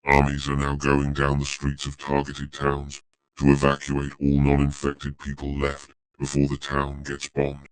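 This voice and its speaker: A synthetic male text-to-speech voice reading a formal, flat, scripted emergency alert announcement. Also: rumbling low, clear, resonant tone, poor audio.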